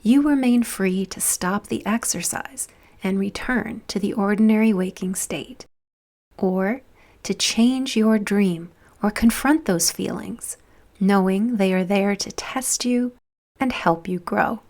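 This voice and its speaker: A woman narrating a guided visualization in a soft, soothing, deliberate voice with breathy phrase endings and long pauses. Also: mid-range voice.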